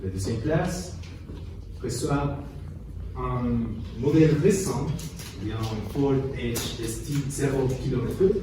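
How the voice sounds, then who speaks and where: A man playing a ceremonious TV game show host in an amateur dramatisation, halting. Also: medium pitch, natural voice. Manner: ceremonious, halting announcer delivery. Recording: very echoey room, distant mic, noisy.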